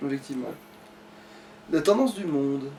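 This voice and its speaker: A man's voice: mid-range voice, animated, normal speed, mellow, distant mic, a little hiss or hum. A man chatting casually as he plays a video game, grumbling and swearing. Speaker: let's player playing the game. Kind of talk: casual gaming chat, live play commentary.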